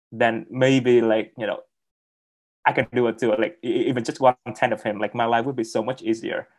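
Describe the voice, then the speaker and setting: A young man who speaks English as a second language, telling his story as a guest on a video call, halting and repeating words with many fillers. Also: medium pitch, darkish tone. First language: not English. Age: young adult. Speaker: interview guest. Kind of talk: casual interview on a video call.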